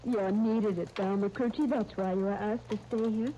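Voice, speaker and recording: Actress as an earnest young niece, muffled old radio. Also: female voice, medium pitch, steady tone, normal speed, mellow, natural voice. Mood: earnest, encouraging. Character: earnest, encouraging young niece, Sunday, in wartime England. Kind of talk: 1930s radio serial acting. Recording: muffled, noisy 1939 radio transcription disc.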